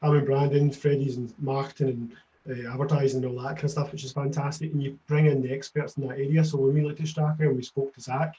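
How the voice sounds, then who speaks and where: Man, quick enthusiastic chat, mellow tone, distant dull mic. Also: medium pitch, flat delivery. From Scotland. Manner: enthusiastic, repeats "as well". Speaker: brand co-founder. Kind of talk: casual interview chat.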